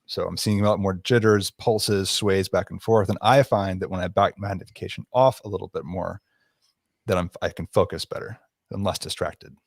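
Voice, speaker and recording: A male guest chats in an easygoing, joking manner. His voice is low, and the sound is dry and close with no room echo. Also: steady tone, normal speed, gravelly. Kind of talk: casual chat.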